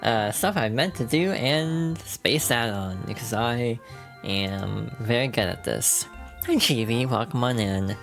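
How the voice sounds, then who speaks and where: A VTuber streamer with a hoarse, froggy voice from allergies, chatting casually while playing a game and laughing at their own slips. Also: mid-range voice, measured pace, a bit bright, faint music behind. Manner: chatty and self-deprecating, many fillers, laughs through words.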